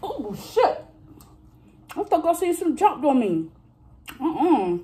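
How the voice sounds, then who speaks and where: A woman in her thirties chatting casually with her viewers during a mukbang eating video, talking straight to them with drawn-out exclamations between bites. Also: high voice, sing-song, dark tone. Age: 30s. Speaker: vlogger.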